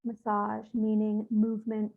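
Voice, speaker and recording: A woman leading a guided meditation, speaking gently and without hurry, with soothing instructions and pauses. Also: medium pitch, completely flat delivery, creaky. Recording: thin, phone-like narrow-band audio.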